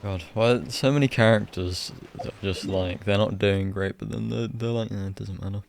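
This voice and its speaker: A man's voice: lowish voice, steady tone, moderate pace, vocal fry, slight background noise. A man giving casual live game commentary as a streamer, offhand and sardonic, swearing now and then.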